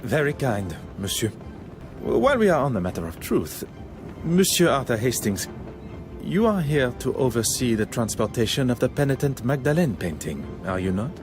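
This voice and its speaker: An actor playing a shrewd, courteous, self-assured detective with a Belgian French accent, speaking formally and precisely in a game. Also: male voice, medium pitch, big pitch swings, moderate pace, over music, close to an everyday voice. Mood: courteous, confident. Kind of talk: scripted game dialogue and narration.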